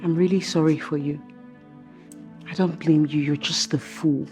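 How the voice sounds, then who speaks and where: A woman with a husky voice and a Nigerian accent, playing an outspoken, loyal attendant to a princess in a screen drama. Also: deep voice, steady tone, normal speed, a little mellow, soft background music, lightly acted. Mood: reproving. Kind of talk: emotive screen drama acting.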